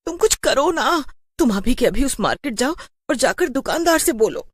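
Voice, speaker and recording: A woman's voice: high voice, big pitch swings, moderate pace, slightly rough, dry recording, studio quality, pitched above the performer's own voice, full character voice. A woman voicing a nagging, urgent wife and mother in a cartoon horror story. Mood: alarmed, urgent. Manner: scolding, urgent, exclamatory. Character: nagging, alarmed young mother and wife ordering husband around.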